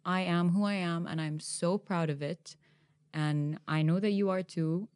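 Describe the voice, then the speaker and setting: A young woman hosting a podcast interview, animated and emphatic, often laughing as she talks. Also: fairly low pitch, measured pace. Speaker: podcast host. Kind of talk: conversational interview.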